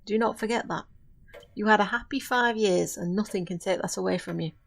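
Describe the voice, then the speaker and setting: A woman acting a centuries-old witch in an audio drama, firm but caring, speaking earnestly in formal, measured phrases. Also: mid-range voice, gravelly. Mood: firm, consoling.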